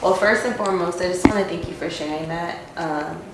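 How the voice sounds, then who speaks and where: A woman hosting a podcast, gently thanking and affirming her guest in a casual interview. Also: fairly low pitch, fairly quick. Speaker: podcast host. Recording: very echoey room with background noise.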